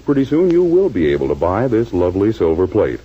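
A man's voice: lowish voice, wildly swooping pitch, a little fast, slightly rough. An American man announcing from a script in 1940s radio style, on a muffled old broadcast. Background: loud music.

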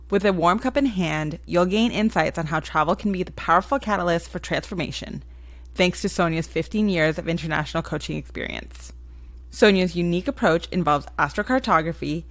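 A woman hosting a podcast in a lowish voice, upbeat and gushing as she welcomes listeners and reacts to her guest. Soft music plays faintly behind her. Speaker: podcast host.

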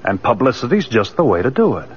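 A man's voice: medium pitch, very animated, fast talker, breathy edge. A man playing an earnest, loyal lawyer in a 1940s radio drama, on a muffled old recording. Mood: confident, sly. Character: earnest, resourceful male lawyer, loyal friend.

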